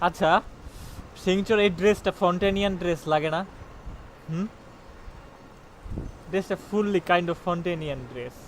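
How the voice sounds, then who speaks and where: Very fast, high-voiced Bangladeshi male streamer. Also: slight background noise, rough recording. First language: Bengali. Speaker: live game streamer. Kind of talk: casual live-stream commentary, mixing in English.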